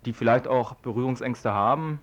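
A young man, a student activist, answering interview questions in a halting, wordy, colloquial way. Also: mid-range voice. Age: young adult. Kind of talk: informal spoken interview answers. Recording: dull lo-fi radio recording.

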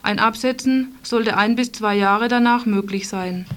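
Woman, radio presenter, slightly high voice, reading a written statement aloud. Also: measured pace, clean tone.

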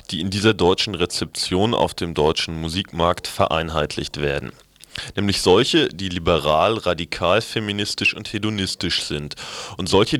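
A man's voice: sits low, fairly bright tone, no echo. A man presenting on radio, reading a scripted magazine commentary aloud.

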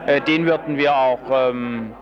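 A man answering as a politician in an interview, explaining in formal, measured and carefully worded language. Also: medium pitch, fairly even intonation, lo-fi sound, crowd noise.

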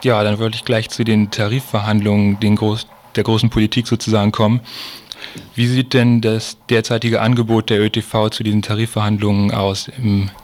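A man's voice: on the low side, little pitch movement, a little fast, darkish tone. A man presenting a scripted political report and interview on the radio.

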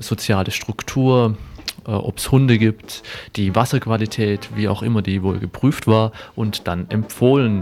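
A man with a moderately low voice presents local radio news. His delivery is informal and halting, put together on the spot more than read out. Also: darkish tone.

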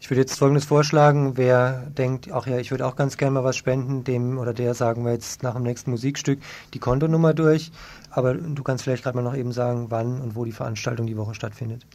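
A man's voice: medium pitch, fairly even intonation, fairly quick, darkish tone. A man working as a radio host, asking questions in an informal local interview.

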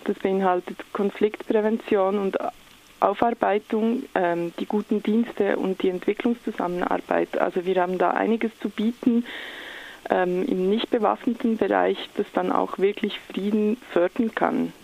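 A woman's voice: mid-range voice, fairly even intonation, moderate pace, creaky, a little mellow, low-quality recording. A woman with a Swiss German accent, speaking as an activist spokesperson in a radio interview, somewhat hesitant as she searches for words. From Switzerland.

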